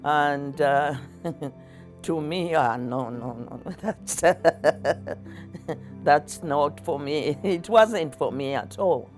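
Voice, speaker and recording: An older woman with a Zambian accent, recalling her life in conversation in a halting, chuckly way. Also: low voice, faint music behind. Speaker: interviewee, athlete's mother. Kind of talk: personal recollection in conversation.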